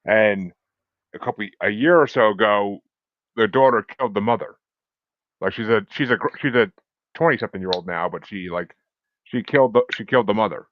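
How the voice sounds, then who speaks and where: A man co-hosting a podcast in casual chat, halting and searching for words, with some swearing. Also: lowish voice, no room sound, dull audio. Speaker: podcast co-host.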